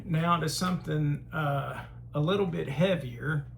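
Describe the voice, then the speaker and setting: A man hosting a casual film collection review, talking haltingly with frequent pauses and fillers. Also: a little high, gravelly, a little hiss or hum. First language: English. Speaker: host.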